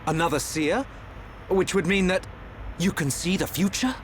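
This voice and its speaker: A man's voice: high-pitched, moderate pace, lightly acted. A man playing a haughty, dignified crown prince in a video game, speaking formally and imperiously. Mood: skeptical, troubled. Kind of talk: video game cutscene acting. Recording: faint ambient noise.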